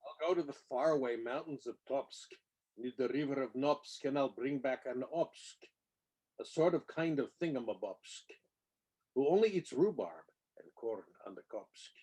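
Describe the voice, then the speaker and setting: A man's voice: mid-range voice, lively intonation, moderate pace, a little mellow, slightly rough, rough recording. A man reads a rhyming children's picture book aloud with playful, theatrical emphasis on the nonsense words. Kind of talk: expressive read-aloud of rhyming storybook verse.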